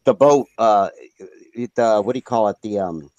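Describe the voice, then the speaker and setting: Man, slightly raspy, rambling casual talker, dry sound. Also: lowish voice, moderate pace, a little mellow, no echo, muffled recording. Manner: rambling, full of "you know". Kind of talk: casual chat on a live show.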